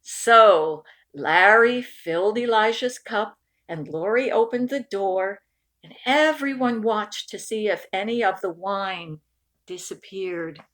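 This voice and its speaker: A woman reading a picture book aloud expressively, with deliberate, dramatic phrasing that stretches key words. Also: medium pitch, fairly bright tone. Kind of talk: expressive storybook read-aloud.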